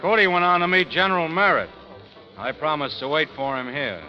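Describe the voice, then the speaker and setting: An actor playing a weary, steely frontier gunfighter in old-time radio drama style, sometimes hesitant, sometimes terse. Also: male voice, fairly high pitch, brisk, lightly acted. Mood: reflective, weary. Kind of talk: 1940s radio acting. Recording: muffled old radio broadcast, faint music.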